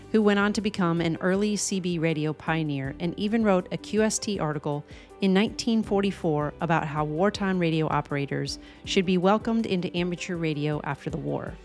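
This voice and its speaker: A woman with an American accent and a fairly low voice narrates from a script. She speaks as a co-host, with faint music playing behind her. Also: brisk. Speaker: co-host of a ham radio channel.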